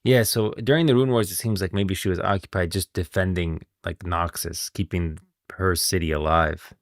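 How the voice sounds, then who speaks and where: A man's voice: low voice, steady tone, normal speed, no room sound, clean studio sound. A man giving casual live commentary as a game lore streamer, informal and musing aloud.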